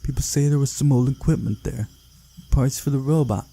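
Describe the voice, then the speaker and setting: Man doing a bewildered teenage boy, pitched up. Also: moderate pace, vocal fry, warm tone, husky. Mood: confused. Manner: confused, hesitant. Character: bewildered, groggy teenage boy, earnest and bookish. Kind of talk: visual novel character read-aloud.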